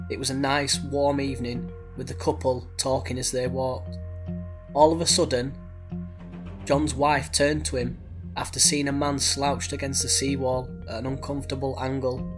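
A man hosting a true crime podcast, narrating a mystery with a head cold. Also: medium pitch. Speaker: podcast host.

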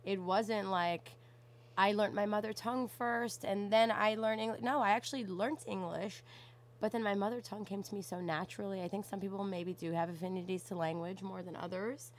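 A young woman from Canada in casual conversation, telling stories in an animated way and often saying "like". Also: mid-range voice, brisk. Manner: animated storytelling, frequent "like".

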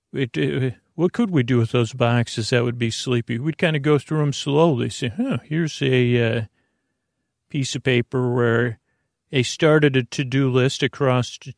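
A man with a creaky voice hosting a sleep podcast, lulling and soothing as he meanders haltingly through a rambling bedtime monologue. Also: medium pitch, no echo, clean studio sound. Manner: meandering, halting, repeats and drifts off topic. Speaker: podcast host.

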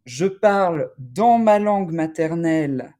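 A man speaking as an academic researcher in an interview, explaining in a deliberate, halting, scholarly way. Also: a little high, expressive, warm tone.

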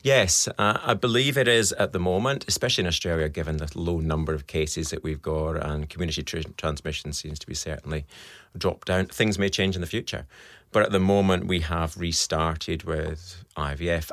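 Very low-voiced Scottish man explaining. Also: fairly bright tone, no echo, clean studio sound. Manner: measured, explanatory, with frequent ums. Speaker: fertility specialist. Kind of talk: expert interview answers.

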